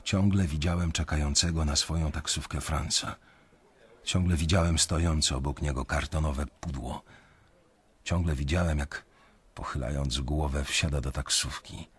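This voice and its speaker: A man's voice: very deep voice, steady tone, husky, full character voice. A man playing a brooding, conscience-stricken young banker who narrates a radio drama in the first person, introspectively. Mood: brooding, measured. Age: young adult. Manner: measured, introspective storytelling.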